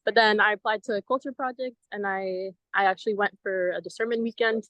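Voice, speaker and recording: A woman's voice: medium pitch, steady tone, fairly quick, a bit bright, no echo, highs cut off. A woman in her twenties from Venezuela, a guest chatting casually in an interview, often saying um and like. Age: 20s. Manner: chatty, friendly, many ums and likes. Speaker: guest, ministry program member. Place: outdoors in front of a cathedral.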